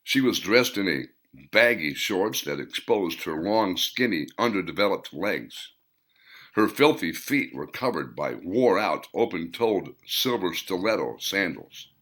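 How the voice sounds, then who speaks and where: A man reading his own story aloud in a plain, unhurried narrating manner. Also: sits low, sing-song, a bit bright.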